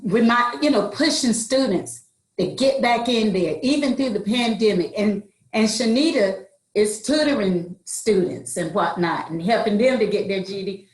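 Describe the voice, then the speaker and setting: A woman's voice: mid-range voice, echoey room, poor audio. A woman introducing her adult education students on a video call, proud and encouraging. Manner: warm, proud, slow-paced encouragement. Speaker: adult education program coordinator.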